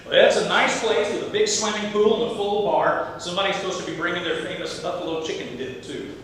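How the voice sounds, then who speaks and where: A man's voice: steady tone, fast talker, a bit bright. A man playing a wry, folksy married handyman in a community theatre comedy, talking dryly. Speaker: amateur stage actor. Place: theatre stage, distant microphone. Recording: echoey hall from far off, rumble.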